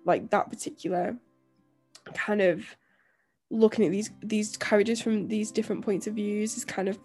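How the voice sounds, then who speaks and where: A young woman co-hosting a podcast, croaky and nasal from illness, rambling casually with lots of fillers and restarts. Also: medium pitch, fairly even intonation, moderate pace, darkish tone, faint music behind. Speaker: podcast co-host and author. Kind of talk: casual chat.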